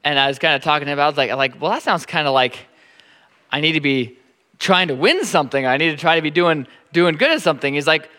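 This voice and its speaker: A man in his thirties with a Nebraskan accent, preaching a sermon in a halting, informal way that repeats words often. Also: fairly high pitch, animated, sharp tone, in a large hall. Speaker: pastor.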